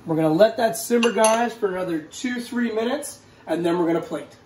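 A man's voice: high-pitched, fairly quick. A man in his forties giving a casual, upbeat cooking demonstration to camera in a home kitchen, addressing viewers as guys. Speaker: cooking show host. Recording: roomy, distant mic, faint kitchen noise.

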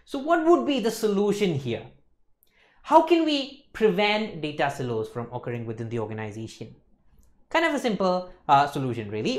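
A young man giving an explanatory lecture, emphatic and often checking in with listeners. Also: a little high. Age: young adult. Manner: emphatic, repeats checking tags like "okay, folks" and "isn't it". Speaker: accountancy lecturer. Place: indoor lecture studio.

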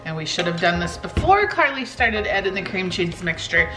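A woman's voice: fairly low pitch, sing-song, normal speed, over music, low-quality recording. A woman in her forties chatting informally and giving recipe instructions in a home kitchen, with a teasing, casual manner. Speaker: home cooking vlog host.

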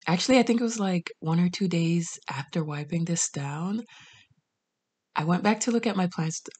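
A woman in her thirties narrating her home cleaning vlog in a candid, chatty way, trailing into soft asides. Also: fairly low pitch, normal speed, breathy edge. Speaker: cleaning vlogger. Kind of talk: casual voice-over narration.

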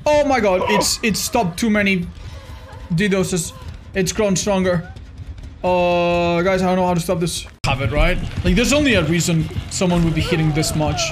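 A man in his thirties doing excitable live gameplay commentary for a chat, exclaiming and repeating phrases for emphasis. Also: high voice, moderate pace, faint music behind. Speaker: video game streamer. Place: indoor streaming room.

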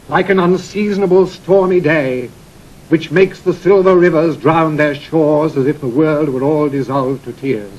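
Grave Shakespearean herald-knight, British accent, raspy, on a slightly dull old recording. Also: male voice, on the high side. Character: grave, loyal messenger knight bearing calamitous news. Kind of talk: classical Shakespearean verse acting. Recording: muffled vintage studio recording.

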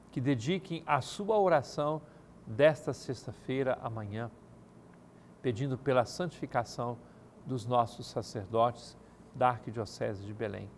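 Older male cleric, formal and measured, seated at a studio desk, faint background hiss. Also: mid-range voice. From Brazil. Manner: measured, formal pastoral address. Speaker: archbishop answering viewers' questions. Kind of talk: televised pastoral talk. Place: TV studio set. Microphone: studio mic, seated at desk.

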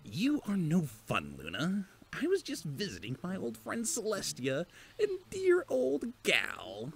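A smug, mischievous male chaos creature from a cartoon, acted by a woman. The voice swoops wildly and drawls slowly, teasing and exaggerating every phrase. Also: fairly low pitch, wildly swooping pitch, vocal fry, exaggerated voice. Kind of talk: animated fan cartoon acting.

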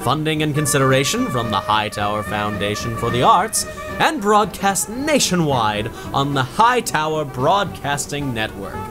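An actor playing a genial old-time radio show host with a measured, announcer-like manner. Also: male voice, medium pitch, big pitch swings, over music, close to an everyday voice. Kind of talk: 1930s radio announcer acting.

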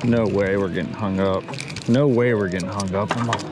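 A man commenting casually to the camera as he fishes from a boat on a lake, upbeat and quick to shout when a fish hits. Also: on the low side, steady tone, loud music behind the voice. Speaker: rival fishing youtuber.

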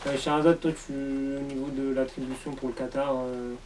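A man's voice: mid-range voice, little pitch movement, moderate pace, darkish tone. A young man hosting a casual round-table discussion. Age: young adult. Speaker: host. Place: small meeting room around a table. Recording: distant mic with background noise.

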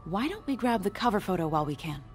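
An icy middle-aged woman gallery boss voiced by an actress in a video game. She is haughty and clipped at medium pitch, over background music. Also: very animated, fast talker, close to an everyday voice. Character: curt, icy woman art gallery director.

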